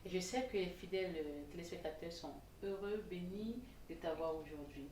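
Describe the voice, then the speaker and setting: Lowish-voiced woman host, lively and laughing a lot, roomy far-off sound. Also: moderate pace. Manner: warm, lively, laughs easily. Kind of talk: casual interview hosting. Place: TV studio set. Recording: roomy, distant mic, faint noise.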